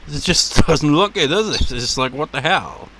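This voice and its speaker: A man with a naturally deep voice rambles through casual let's play commentary, his pitch jumping around with lively swings. His tone is bright and forward, with laughs and swearing mixed in. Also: normal speed, a little hiss or hum, poor audio.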